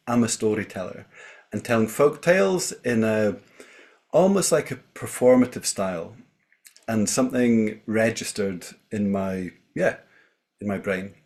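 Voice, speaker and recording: A man from the Scottish Highlands with a Scottish accent, a storyteller introducing himself informally on a group video call in halting, thoughtful phrases. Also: a little mellow. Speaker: storyteller, guest speaker.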